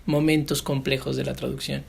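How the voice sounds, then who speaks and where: A woman's voice: rumbling low, level tone, brisk, gravelly, a little mellow, husky. A woman interviewer in casual conversation, attentive, with loosely rambling questions and short affirmations. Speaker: interviewer, host.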